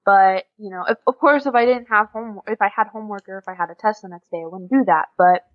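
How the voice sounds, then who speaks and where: A teenage American woman talks casually in an interview, in a chatty, informal and giggly way. Her voice sits at an ordinary pitch. The sound is very dry and a little lo-fi. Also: quick pace, no echo. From USA. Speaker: podcast guest, exchange student.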